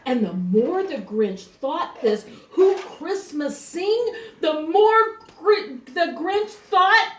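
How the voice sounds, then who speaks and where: An older woman storyteller giving an expressive, theatrical read-aloud of a rhyming children's storybook, leaning on drawn-out emphasis. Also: extremely high pitch, slight background noise. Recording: echoey room, recorded from a distance.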